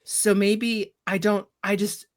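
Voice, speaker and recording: A woman's voice: medium pitch, little pitch movement, takes it slowly. A woman running a tabletop game over a call, narrating with fillers and frequent laughter. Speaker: game master.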